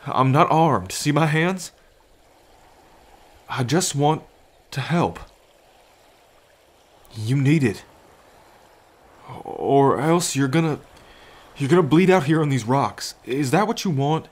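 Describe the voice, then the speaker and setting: A man playing a gentle, anxious lone fisherman in an ASMR roleplay, with a halting, reassuring manner. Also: mid-range voice, animated. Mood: soothing, pleading.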